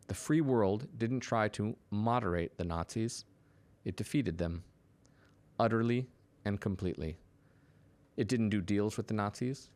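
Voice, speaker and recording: Emphatic, low-voiced man delivering political commentary. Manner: emphatic, punchy, dramatic pauses between short phrases. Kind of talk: scripted monologue to camera, political commentary.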